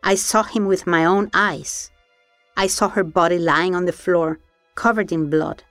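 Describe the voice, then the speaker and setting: A woman hosting an investigative documentary, giving measured, serious scripted narration. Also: lowish voice, fairly even intonation, fairly bright tone, dry recording, soft background music. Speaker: documentary podcast host and narrator.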